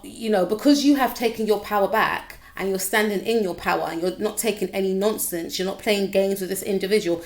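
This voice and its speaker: A woman with a slightly croaky voice giving a chatty tarot reading straight to her viewers, often checking in with them. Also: medium pitch. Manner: chatty, repetitive, addresses viewer directly. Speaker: tarot reader. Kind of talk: casual tarot reading to camera.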